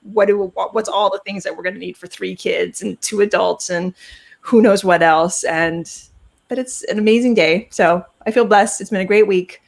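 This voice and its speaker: A woman in her thirties co-hosting a video chat from home, excitable and chatty as she shares personal news. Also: mid-range voice, moderate pace, creaky. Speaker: co-host. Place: home, indoors, on a video call.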